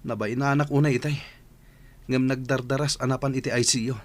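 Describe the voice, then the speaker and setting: A man's voice: mid-range voice, steady tone, a little fast, lightly acted. A man playing an agitated, disbelieving villager in an Ilocano radio drama, with emphatic, melodramatic delivery. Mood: incredulous.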